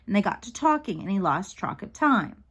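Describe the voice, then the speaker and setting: A woman's voice: medium pitch, very animated, normal speed, fairly bright tone, husky, dull audio, full character voice. A woman voicing a matter-of-fact boy in a storybook, dry and reasoning as an older brother.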